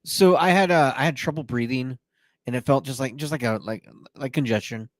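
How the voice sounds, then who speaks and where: Talkative podcast co-host man, mid pitch. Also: a little fast, no echo. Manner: talkative storyteller, many fillers. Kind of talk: casual podcast chat.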